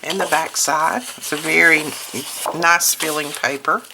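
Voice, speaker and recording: A hoarse woman recovering from illness, hosting a casual craft product showcase and chatting as she handles each item. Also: low-pitched, fairly even intonation. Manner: unhurried, chatty, commenting piece by piece. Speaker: host of a craft product video. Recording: clear background noise, paper crinkling and clicks. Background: crinkling paper and packaging.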